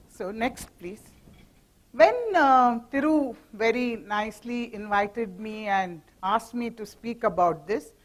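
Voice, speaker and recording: Chatty Indian woman giving a corporate talk. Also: fairly high pitch. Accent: Indian English. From Mumbai, India. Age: middle-aged. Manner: chatty and teasing, asides and jokes to the audience. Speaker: guest speaker, professor. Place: meeting hall with an audience. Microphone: handheld or stand mic.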